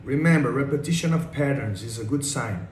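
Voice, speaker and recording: A man's voice: mid-range voice, lively intonation, a little fast. Brazilian-accented man in an echoey room. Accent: Brazilian Portuguese. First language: Portuguese. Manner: enthusiastic, repeats phrases like "check this out". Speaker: day trader. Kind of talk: screen-share trade breakdown. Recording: echoey room with faint noise.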